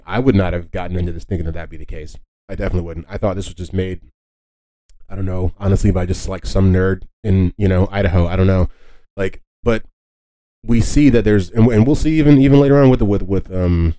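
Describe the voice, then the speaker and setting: A man hosting a podcast, explaining history casually in a halting, conversational way and often rephrasing himself. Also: deep voice, dark tone, poor audio. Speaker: podcast host.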